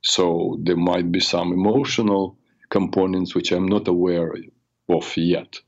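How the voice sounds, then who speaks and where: Older guy radio host, raspy from a cold, real low voice, kinda muffled audio, rambles a lot. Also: fairly even intonation, measured pace. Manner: warm, rambling, personal storytelling with many fillers. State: has a cold. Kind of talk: solo talk show monologue.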